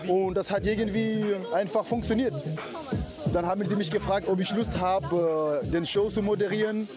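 A high-voiced man from Mauritania and Senegal, not a native German speaker, answers interview questions outdoors in a halting way. Loud music plays behind him at the event. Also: fairly even intonation. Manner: halting, with many hesitations and restarts. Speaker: event organizer and host. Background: music playing.

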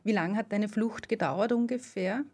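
A woman interviewing a young man, asking gentle, probing follow-up questions. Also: mid-range voice, moderate pace.

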